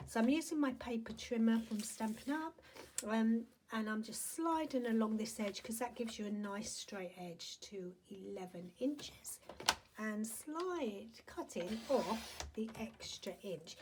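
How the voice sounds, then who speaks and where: A woman with a croaky, hoarse voice giving a chatty, friendly craft-along tutorial at home, with lots of ums. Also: on the high side, unhurried, background noise. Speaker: paper craft demonstrator and product seller.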